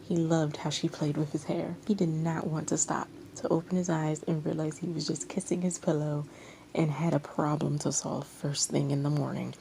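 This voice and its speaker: A woman reading fan fiction aloud as an expressive storyteller. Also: low-pitched, moderate pace, vocal fry, rough recording. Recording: amateur recording with background noise.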